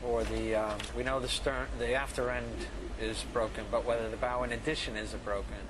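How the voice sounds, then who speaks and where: A man giving halting, subdued, unscripted commentary on what he is looking at. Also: mid-range voice, level tone. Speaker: expedition member commenting on wreck footage. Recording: noisy ambient location sound.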